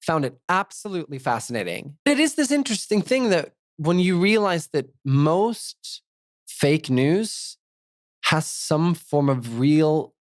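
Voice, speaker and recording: Animated laughing man, lively voice. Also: a little high, measured pace, dry recording, studio quality. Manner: laughs often, animated and self-mocking. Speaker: podcast co-host. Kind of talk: casual chat, reading out listener comments.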